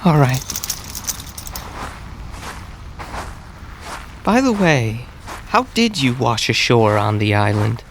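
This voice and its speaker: A woman's voice: extremely low pitch, sing-song, unhurried, a little hiss or hum, exaggerated voice. A woman playing a melodramatic, self-pitying and needy island mystic in a comedic audio drama, with a pleading way of talking. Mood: subdued. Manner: dramatic, pleading, swooping delivery. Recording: music behind.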